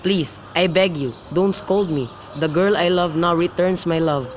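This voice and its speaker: An impatient young lover acted by a man speaks in a slightly high voice. The lines are earnestly recited, as in a student radio drama. Faint outdoor noise and birds are heard behind him. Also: steady tone, unhurried, natural voice. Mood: pleading.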